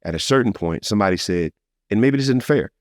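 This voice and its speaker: An American man with a very deep voice speaks at a fast clip in a casual podcast discussion. He is emphatic and informal, leaning on slang and often seeking agreement. The sound is dry, with almost no room in it. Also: lively intonation, no echo. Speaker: podcast host.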